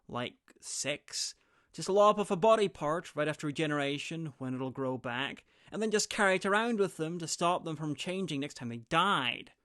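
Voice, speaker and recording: A man's voice: a little high, fairly bright tone. A man giving a sarcastic, mocking recap and review of a TV episode, with the odd swear word. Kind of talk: comedic recap commentary.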